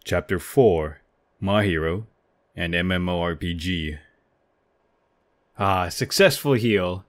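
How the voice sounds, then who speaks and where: A young man narrating a comic in a theatrical, deliberate manner. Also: deep voice, no room sound. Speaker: manga dub reader.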